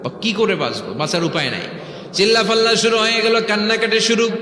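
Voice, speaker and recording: Bright-voiced male preacher in an echoey hall. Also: high-pitched, quick pace. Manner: dramatic, repeating phrases, calling on listeners to respond. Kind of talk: animated storytelling sermon, acting out prayers and dialogue. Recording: echoey hall with long reverb.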